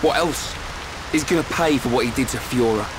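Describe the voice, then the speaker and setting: A man's voice: mid-range voice, fairly quick, noisy background, natural voice. A man playing a brash, loyal, hot-headed young fighter in a video game cutscene. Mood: defiant.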